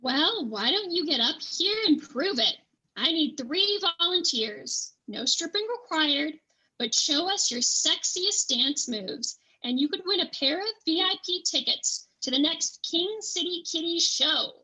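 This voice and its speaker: A brash, high-energy female burlesque host, acted by a woman in a table read, teases and rallies the club crowd. Her voice sits high and rings out bright and forceful. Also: lively intonation, measured pace, full character voice, rough recording. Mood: teasing, rousing. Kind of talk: stage emcee patter in a table read.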